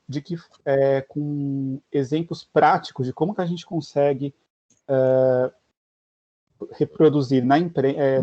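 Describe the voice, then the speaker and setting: A man's voice: a little high, warm tone, no echo. A young Brazilian man, a journalist and graduate student, asking an earnest question over a video call, searching for words with many small restarts. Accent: Brazilian Portuguese. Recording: dry, dull lo-fi video call audio.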